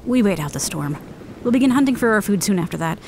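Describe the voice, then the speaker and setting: A woman's voice: medium pitch, lively intonation, quick pace, darkish tone, natural voice. Female castaway, played by an actress: mid-range voice, determined, faint background noise, fantasy audio drama. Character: resolute female shipwreck survivor planning for food.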